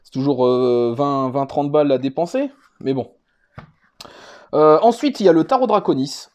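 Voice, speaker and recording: A man's voice: a little high, normal speed, a little mellow, highs cut off. A man giving a casual, unscripted show-and-tell as a vlogger, speaking hesitantly and rambling, often repeating himself. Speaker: vlogger presenting a card collection.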